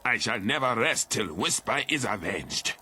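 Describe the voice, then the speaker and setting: An actor playing a vengeful, grieving warrior in a video game, making slow, dramatic threats in a forceful voice. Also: male voice, on the low side, sing-song, slight background noise, full character voice. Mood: vengeful fury. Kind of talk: video game cutscene acting.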